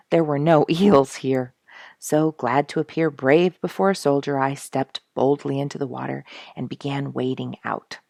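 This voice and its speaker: Low-voiced woman reading a storybook. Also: gravelly, darkish tone, dry recording, studio quality. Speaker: storyteller reading a book chapter aloud. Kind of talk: expressive storybook narration.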